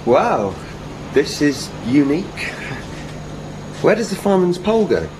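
A man's voice: on the high side, big pitch swings, unhurried, noisy background. An actor in a comic radio play, playing a stranded, persistent male fan with an earnest, eager manner. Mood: earnest, polite.

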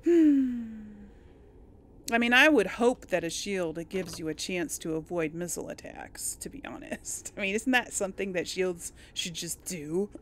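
A woman's voice: lowish voice, lively intonation, measured pace, a little hiss or hum. A woman giving casual let's play commentary, chatting and thinking aloud to her viewers. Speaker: gaming video host.

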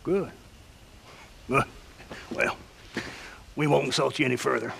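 A man's voice: medium pitch, a little slow, gravelly, fairly bright tone, slight background noise. An older man with an American Western accent playing a blunt, hearty, opinionated old rancher in a video game. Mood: indignant. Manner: blunt, folksy, preachy. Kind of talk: dramatic video game acting.

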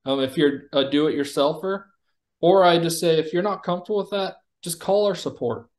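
A man with a somewhat higher-pitched voice gives a product demo. He talks in a conversational, informal way, explaining features as a company executive would to a prospective customer. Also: normal speed, darkish tone.

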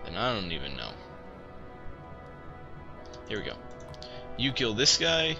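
A young man with a low voice talks at an unhurried pace, his tone rising and falling in lively swings as he muses over a strategy game. He is wry and jokingly bosses the characters around. Chiptune music plays clearly behind him while a shower runs somewhere nearby. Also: lo-fi sound. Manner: wry, barks playful orders at game characters. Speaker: gaming video host. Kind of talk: casual let's-play commentary. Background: chiptune music playing and a shower running.

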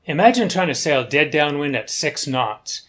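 A man's voice: fairly high pitch, big pitch swings, a bit bright. Older man narrating a sailing lesson. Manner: measured, explanatory, step by step. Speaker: sailing instructor. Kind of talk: scripted instructional narration.

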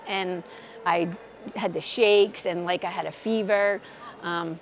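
A woman's voice: medium pitch, a little hiss or hum. A woman in her sixties from Massachusetts, earnestly sharing advice in an outdoor square, wearing a lapel mic. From Massachusetts, USA. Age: 60s. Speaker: pilgrim interviewee.

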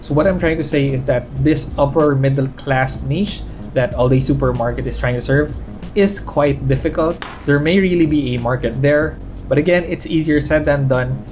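A man's voice: on the high side, normal speed. Filipino man reviewing stocks over music. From Philippines. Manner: candid, conversational, gestures while explaining. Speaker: finance vlogger. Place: living room at home.

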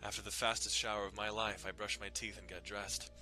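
A man reads a visual novel aloud as a dramatic narrator. His voice sits fairly low and is very bright and forceful. Faint music runs underneath. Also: level tone, fairly quick. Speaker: dramatic reader.

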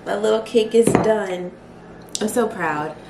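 A young woman talking casually to her camera at home, soft and reflective, a little emotional. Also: medium pitch, measured pace, vocal fry, far from the mic, background noise. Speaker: vlogger. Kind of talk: casual vlog talk. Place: small home kitchen and living room. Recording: roomy, from the mic, music behind.

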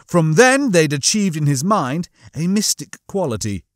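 A man reading comic fiction as a scripted audiobook, with a theatrical, expressive storytelling manner. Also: on the high side, a little slow, no echo, clean studio sound. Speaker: audiobook narrator.

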